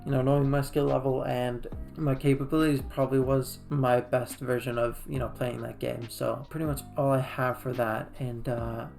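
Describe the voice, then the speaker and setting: A man's voice: mid-range voice, level tone, normal speed, gravelly, few pauses, darkish tone. A man from Iceland giving casual game recap commentary in a loose, conversational way. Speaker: gaming video creator. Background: faint background music.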